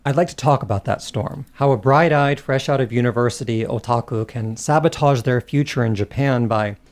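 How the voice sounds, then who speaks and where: A man with a mid-range voice narrates a scripted video essay. He sounds measured and articulate, moving through long, carefully worded sentences. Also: mid-range voice, darkish tone.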